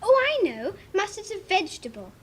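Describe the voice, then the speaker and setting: A polite, curious young girl. Her voice is high and she speaks primly and earnestly, as in a storybook drama. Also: very animated, moderate pace. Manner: prim, well-mannered.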